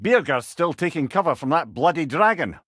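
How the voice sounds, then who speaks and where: Wry old Scottish sage, lively intonation. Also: male voice, fairly high pitch, normal speed, no room sound, studio quality. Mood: earnest, informative. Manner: wry, eloquent, lilting storyteller's delivery. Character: Mimir, wise wry old male storyteller and companion. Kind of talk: video game character dialogue.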